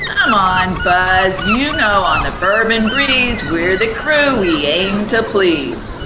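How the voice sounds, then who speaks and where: A woman playing a brisk, blunt, no-nonsense tour boat hostess in a radio play. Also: mid-range voice, animated, echoey room, very noisy. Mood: brisk, businesslike.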